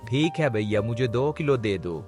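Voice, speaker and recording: A man's voice: medium pitch, lively intonation, moderate pace, a little mellow, natural voice. A man voicing a friendly, sympathetic village neighbour in a Hindi cartoon story. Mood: friendly, interested. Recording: background music under the voice.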